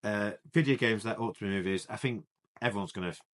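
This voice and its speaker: A man's voice: lowish voice, fast, a bit bright. A man co-hosting a casual film podcast, moving the talk from topic to topic in a plain way. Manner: plain-spoken, drives the topics. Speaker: podcast co-host. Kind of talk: casual chat.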